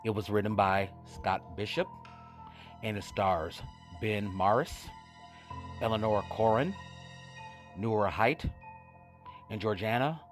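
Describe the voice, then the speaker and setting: A man hosting a vintage radio horror show, introducing it with deliberate, dramatic pauses and casual asides. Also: lowish voice, faint music behind. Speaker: host of old-time radio horror show.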